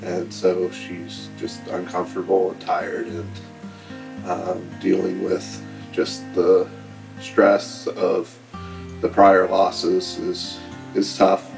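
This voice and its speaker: A very deep-voiced man calls in with a prayer request, speaking slowly and hesitantly, pausing often in an even, flat tone. Guitar music plays clearly behind him. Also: poor audio. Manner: slow, hesitant, with many pauses and fillers. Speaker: caller.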